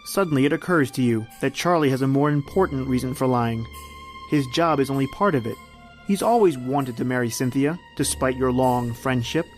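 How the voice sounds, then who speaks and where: A man's voice: medium pitch, normal speed, faint music behind, natural voice. A man playing an ominous, all-knowing narrator who sardonically needles the hero in old-time radio suspense style. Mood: insinuating.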